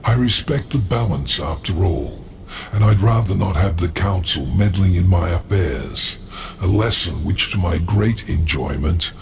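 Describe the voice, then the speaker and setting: Slow, gravelly, haughty demon lord. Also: male voice, low-pitched, animated, a little hiss or hum, exaggerated voice. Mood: measured, evasive. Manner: urbane, measured, condescending. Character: cunning, haughty male demon lord, coolly self-serving ally. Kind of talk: theatrical video game acting. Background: music.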